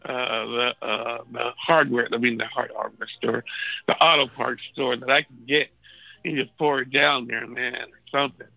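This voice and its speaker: A man with a raspy voice, thirsty and unfed in a hospital room, telling a story in a laid-back, slangy way. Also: medium pitch, fairly even intonation, normal speed, pushed and bright, no echo, muffled recording. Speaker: guest calling in from a hospital bed. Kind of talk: casual storytelling chat.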